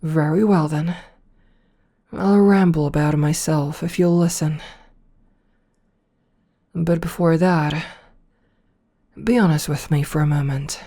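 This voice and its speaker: A woman playing a rich, possessive, seductive female CEO in an ASMR roleplay, softly formal and coaxing, with a politely commanding manner. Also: sits low, fairly even intonation, exaggerated voice. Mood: politely attentive. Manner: slow, softly formal, coaxing with pet names.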